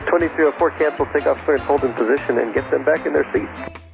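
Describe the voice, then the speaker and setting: A man's voice: on the high side, quick pace, vocal fry, low-quality recording. A man working as an air traffic controller, giving instructions and bantering over aviation radio. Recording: aviation radio transmission under music.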